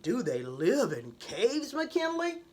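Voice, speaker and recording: Lady doing a wide-eyed little girl in a kids story, high voice, exclaims and asks stuff. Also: wildly swooping pitch, takes it slowly, a little mellow, husky, full character voice. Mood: curious, amazed. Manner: questioning, exclaiming.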